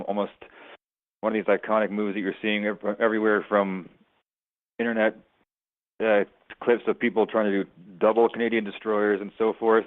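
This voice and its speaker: Man, sports reporter, lowish pitch, phone-line sound, hesitant, pauses mid-phrase, press call question. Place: telephone conference call. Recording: narrow, radio-like phone line.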